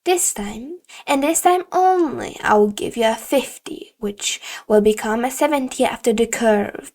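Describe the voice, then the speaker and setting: A woman plays a stern female middle school teacher in an audiobook story. Her voice is high and she speaks very slowly, leaning on key words. She lectures with deliberate, condescending firmness. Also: a little mellow. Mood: firm, dismissive. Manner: slow, deliberate, emphatic, lecturing. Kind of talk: acted audiobook dialogue.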